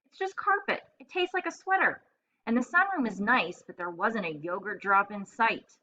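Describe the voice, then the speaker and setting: A woman voicing a self-satisfied, excitable little male hamster telling his diary in a children's storybook. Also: medium pitch, normal speed, dull audio, a little hiss or hum. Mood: indignant. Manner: self-satisfied, exclamatory, asks and answers himself.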